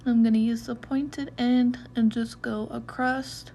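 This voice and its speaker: A young woman walking through a makeup tutorial in a relaxed, chatty way, with loose fillers as she goes. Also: a little high, a little slow, slight background noise. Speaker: beauty vlogger. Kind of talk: casual makeup tutorial narration.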